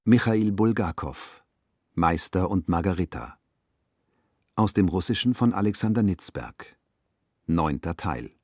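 Deep, unhurried male radio announcer. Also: lively intonation, darkish tone, no echo, studio quality. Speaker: announcer reading credits. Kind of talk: scripted broadcast credits.

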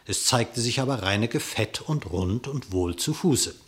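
A man narrating a verse fable in a radio play, in a measured storytelling manner. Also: medium pitch, expressive.

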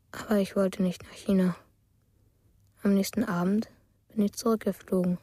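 Lonely, dreamy small boy female actor, radio drama. Unhurried, hushed and breathy, simple storytelling. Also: mid-range voice, no echo, lightly acted. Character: dreamy, lonely little boy telling of secret journeys. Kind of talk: German radio drama monologue.

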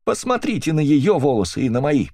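A man's voice: fairly high pitch, big pitch swings, a little fast, darkish tone, no room sound, clean studio sound, pitched above the performer's own voice. A man voicing a grief-stricken English widow who bitterly accuses another woman, in a storybook reading. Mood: accusing.

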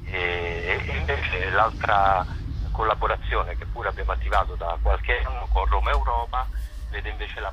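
A man giving unscripted interview answers as a festival director, speaking haltingly with drawn-out words and repetitions. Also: low-pitched, pushed and bright, a little hiss or hum, poor audio.

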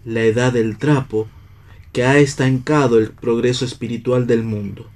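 An actor in a radio play voicing an idealistic worker-philosopher, declamatory and sententious. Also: male voice, mid-range voice, fairly even intonation, moderate pace, a little mellow, natural voice. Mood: preaching, resolute. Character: idealistic man in worker's clothes, preachy philosopher. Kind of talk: read radio theatre.

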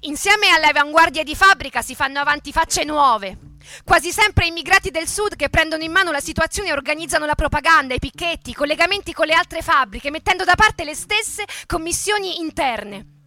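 A woman playing an impassioned leftist eyewitness in a solemn, measured, declamatory literary reading for radio theatre. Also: sits high, expressive, pushed and bright, full character voice.

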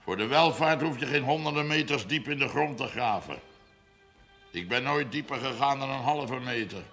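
An actor playing a wry, self-made village farmer in a television period drama, talking in a droll, folksy, deliberate way. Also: male voice, mid-range voice, fairly bright tone, faint music behind, natural voice. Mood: sceptical, proud.

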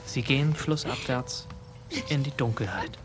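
A man's voice: medium pitch, a little slow, music in the background, lightly acted. A man playing the observant, atmospheric storyteller of a radio drama, reading scripted narration in short scenes. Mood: evocative.

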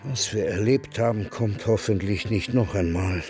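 An actor playing a wry, embittered old camp survivor in a radio drama, dry and sardonic in conversation. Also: male voice, lowish voice, moderate pace, a little mellow, faint music behind, close to an everyday voice. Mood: dry, weary. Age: older.

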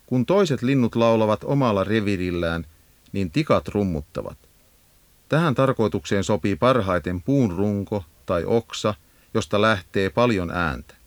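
A man reading scripted educational narration for a nature guide in a measured, instructive manner. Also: lowish voice, animated, no echo. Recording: 1980s audio cassette narration.

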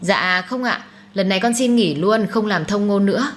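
A woman's voice: mid-range voice, moderate pace, close to an everyday voice. A woman narrator voices a devoted young man in an audiobook. He speaks earnestly and firmly, as a respectful son and husband. Some noise sits behind the voice. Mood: resolute. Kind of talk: dramatised audiobook storytelling.

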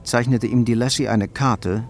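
A man's voice: mid-range voice, fairly even intonation, normal speed, soft background music. A man reading scripted narration as the storyteller of a radio crime drama.

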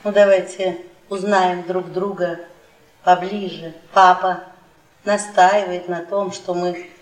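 An actress playing an embittered, seductive middle-aged professor's wife, mocking and domineering in a theatrical radio-play manner. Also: female voice, on the low side, flat delivery, slow. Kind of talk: Soviet radio theatre acting. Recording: roomy echo, off the mic.

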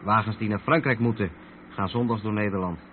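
An actor with a Netherlands Dutch accent playing an experienced long-haul trucker who patiently explains things in a radio play. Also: male voice, on the low side, a little fast, close to an everyday voice. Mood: patient, explaining. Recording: muffled old radio play, vehicle noise.

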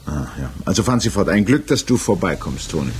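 An actor in a 1960s German radio play, playing an examining judge who questions in a pointed, insistent, official and impatient way. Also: male voice, deep voice, very animated, fast talker, noisy background. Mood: dry, sceptical.

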